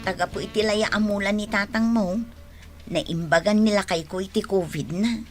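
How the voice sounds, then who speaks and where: An actress playing a chatty, forthright village neighbour in a radio drama, with a brisk manner and an Ilocano accent. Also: female voice, medium pitch, faint music behind, close to an everyday voice. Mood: friendly, curious. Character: pushy, chatty village neighbour woman asking favours.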